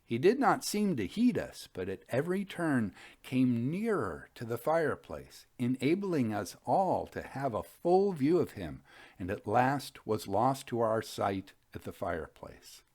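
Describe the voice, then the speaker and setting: A man narrates an old tale as a storytelling host. His voice sits at a medium pitch and moves widely up and down. The delivery is scripted, expressive and dramatic. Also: a little slow. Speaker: podcast host reading old ghost stories.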